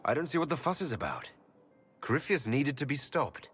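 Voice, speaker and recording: Earnest, expressive elf hero. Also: male voice, medium pitch, brisk, breathy edge, soft background music, heavily acted. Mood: modest, brisk. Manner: plain-spoken, direct. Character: earnest, modest male elf hero and leader. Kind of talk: dramatic video game dialogue.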